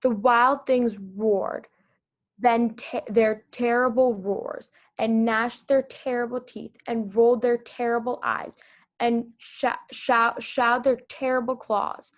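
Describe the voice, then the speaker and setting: A woman's voice: fairly high pitch, fairly even intonation, slow pace. Woman reading a picture book aloud to kids. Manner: gentle, encouraging, with small restarts. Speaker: read-aloud host. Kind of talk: reading a picture book to children over video call. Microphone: video call.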